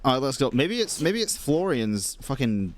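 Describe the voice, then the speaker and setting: A man's voice: mid-range voice, sing-song, moderate pace. A young man doing casual game stream commentary, informal, sweary and reactive.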